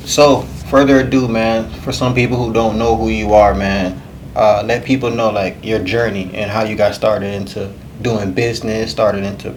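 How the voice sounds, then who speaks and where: A man's voice: lowish voice, level tone, normal speed, slight background noise. A man hosting a casual podcast interview, laid-back and affirming. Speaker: podcast host.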